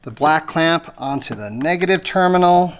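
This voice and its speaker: An American how-to host with a mid-pitched voice, explaining a hands-on job step by step in a casual, conversational way. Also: expressive, moderate pace, background noise. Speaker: host of an automotive how-to channel. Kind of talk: casual how-to tutorial narration.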